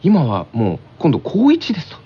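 A man's voice: on the high side, wildly swooping pitch, moderate pace, background noise. A man hosting a radio show with light, polite banter, introducing listener mail. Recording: slightly dull radio audio, music behind.

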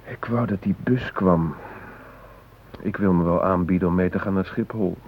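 An actor playing an anxious but resigned hostage in a radio drama, reflective and hesitant, with wry fatalism. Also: male voice, low-pitched, normal speed, husky. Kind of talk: naturalistic radio drama acting. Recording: noisy, narrow-band old radio recording.

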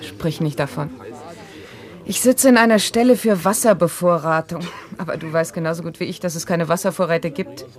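An actress plays a determined grown-up daughter in a vintage science-fiction radio play. Her voice sits slightly low, and she comes across as idealistic and quietly rebellious. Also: moderate pace, close to an everyday voice. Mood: disdainful. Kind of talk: 1970s German radio play acting.

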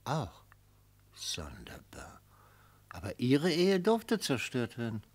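A man's voice: medium pitch, huge pitch swings, takes it slowly, husky, full character voice. An actor playing a retired prosecutor in a radio play, theatrical and silky, mockingly affable as he probes and savours each phrase. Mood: mock friendly. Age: older. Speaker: prosecutor in a mock trial. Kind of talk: German radio play acting.

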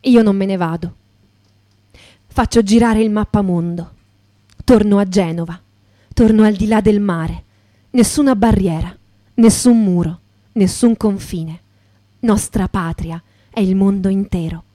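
A woman's voice: mid-range voice, a little slow, pauses often, mellow, no room sound, natural voice. A woman playing a mourning, defiant activist mother in a poetic radio-theatre monologue, speaking in incantatory, declamatory repetitions. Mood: resolute. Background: acoustic guitar music.

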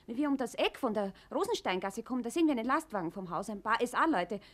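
High-voiced, earnest Viennese schoolgirl. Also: sing-song, fast talker. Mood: urgent, grave. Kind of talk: naturalistic German radio play acting.

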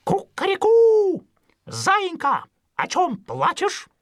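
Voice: extremely high pitch, huge pitch swings, slow pace, no room sound, studio quality, full character voice. A performer voicing a small, brave, cocky rooster with a crowing, singsong delivery in a children's fairy-tale audio play. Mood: bold, comforting.

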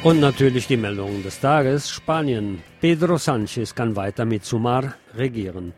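A man's voice: medium pitch, lively intonation, moderate pace, loud music behind the voice. A man reading scripted news in the role of a radio news presenter.

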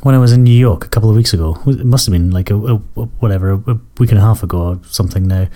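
Scottish male podcast host, deep, croaky, apologetic, rambling. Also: racing, mellow. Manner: rambling, self-correcting, apologetic. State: croaky from a cold and cough. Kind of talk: casual radio-style chat between tracks.

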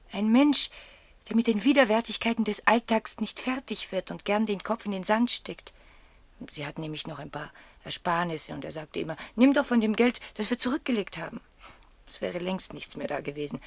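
Husky, thoughtful confiding woman. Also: mid-range voice, normal speed, no echo. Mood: confiding, wistful. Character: thoughtful, reserved woman confiding a troubled marriage, gently sceptical. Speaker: radio drama actor. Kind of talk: German radio play dialogue.

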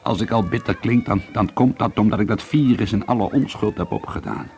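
A man's voice: fairly low pitch, a little fast, a little mellow, natural voice. An actor playing a troubled, bitter schoolteacher and painter in a radio drama, hesitant and confiding, repeating himself as he broods. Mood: bitter, confessional. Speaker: lead actor and first-person narrator. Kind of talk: radio drama monologue and dialogue. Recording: noisy old radio play recording.

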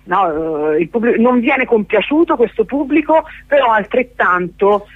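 A woman's voice: a little high, animated, moderate pace, breathy edge, no echo. A woman speaking as a festival organiser in a radio interview, explaining at length and often searching for words. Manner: hesitant, searching for words, long-winded. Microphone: telephone. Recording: narrowband telephone line on radio.

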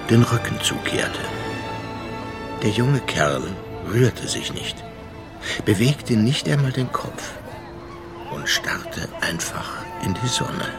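A male actor playing a reflective, wry seaman who tells his memories in a radio drama. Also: lowish voice. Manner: musing, storytelling, sardonic. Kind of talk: radio drama first-person narration. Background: music.

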